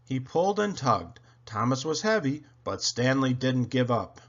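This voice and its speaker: A man reading a children's story aloud in an expressive, dramatic, deliberate way. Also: mid-range voice, lo-fi sound. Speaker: storybook reader.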